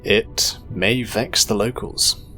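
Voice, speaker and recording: A man plays a loyal male junior officer in a science fiction audio story. His voice is fairly low, formal and deferential, questioning his superior politely over music. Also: fairly even intonation, takes it slowly, sharp tone. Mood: respectful, probing. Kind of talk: dramatic audio story character. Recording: music behind the voice.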